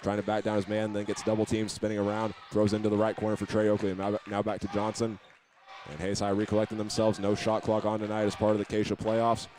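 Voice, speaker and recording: A man's voice: on the low side, monotone, fast, a little mellow, a little hiss or hum. An American man calling a basketball game live as a play-by-play announcer, describing each move as it happens. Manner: running present-tense description of each move.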